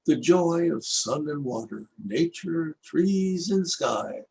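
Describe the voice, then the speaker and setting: An older Canadian man reading his own rhyming poems aloud in a measured, lilting recitation. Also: fairly high pitch, creaky, slight background noise. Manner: lilting, expressive recitation with rhythmic rhyme. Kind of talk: slow, measured poetry reading.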